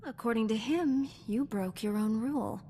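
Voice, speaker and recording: A woman plays a young knightly heroine in a game cutscene. She is composed and measured, earnest with a dry teasing edge, heard in a long reverb with faint noise. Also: on the high side, dark tone, close to an everyday voice. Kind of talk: video game cutscene acting.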